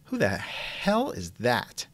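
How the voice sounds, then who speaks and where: A man's voice: mid-range voice, huge pitch swings, slow, over loud music. A man hosting a scripted comedy interview show in a theatrical, wisecracking manner, close to the mic. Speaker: podcast host and interviewer.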